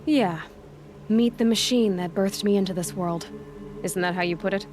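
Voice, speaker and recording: An actress playing a guarded, stubborn young hunter in a video game cutscene, speaking in a dry, terse, sarcastic way. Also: female voice, medium pitch, normal speed, darkish tone, slight background noise, natural voice. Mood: bitter, sarcastic. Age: young adult.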